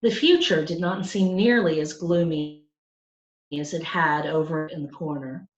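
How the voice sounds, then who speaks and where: A woman reading a children's story aloud in an expressive storytelling style. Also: low voice, measured pace, roomy sound, highs cut off. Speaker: storybook reader.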